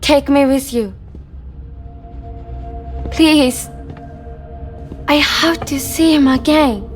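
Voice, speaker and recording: An actress playing a wistful, earnest woman longing for a lost love in a video game cutscene, confiding and halting. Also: sits high, soft background music. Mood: pleading.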